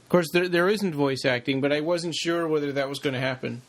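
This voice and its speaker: A man's voice: fairly high pitch, a little fast, over a noisy crowd. A man co-hosting a podcast, chatting casually as he gives his impressions. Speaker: podcast co-host. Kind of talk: casual discussion.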